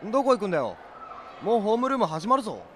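A man playing a chatty, cheeky, lovestruck high school boy in a youth comedy audio drama. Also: very fast. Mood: hopeful. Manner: animated, casual slangy talk. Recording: noisy ambience behind.

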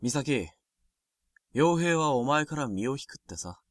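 A young man playing a bitter, jealous high school boy in a Japanese voice drama, with a cutting, taunting way of talking. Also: animated, a little slow, dry recording, clean studio sound, lightly acted. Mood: cool, provocative.

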